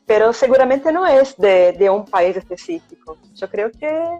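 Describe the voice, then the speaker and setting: A woman's voice: on the high side, expressive, moderate pace, mellow. Brazilian lady teacher chatting on a livestream, keeps saying "no?", lo-fi audio with faint music. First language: Portuguese. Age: middle-aged. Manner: thoughtful, many hesitations and tag questions. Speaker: guest Spanish teacher. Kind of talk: casual chat on a live stream.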